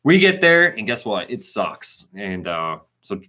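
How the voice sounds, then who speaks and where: Low-voiced man with very lively intonation. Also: unhurried. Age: 30s. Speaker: co-host of a trade channel. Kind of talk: casual live Q&A chat.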